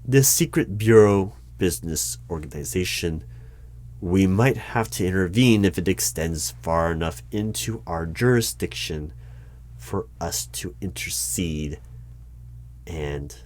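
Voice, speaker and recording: Male performer as cautious bureau hiring manager, ASMR roleplay. Deep, slow, hesitant, dryly polite. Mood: hesitant, wary. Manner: hesitant, deadpan, measured. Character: wary, polite hiring manager of a secret bureau interviewing a dragon. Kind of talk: one-sided ASMR interview roleplay. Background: computer keyboard typing and clicks.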